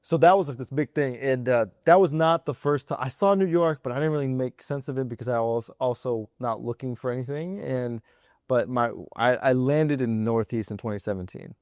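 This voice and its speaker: A man's voice: medium pitch, fairly quick, a little mellow, no room sound, clean studio sound. Earnest man from suburban Chicago. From Chicago suburbs, USA. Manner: earnest, restarts a lot, says "yo" and "of course". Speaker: guest, fashion designer. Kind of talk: casual interview chat. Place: studio.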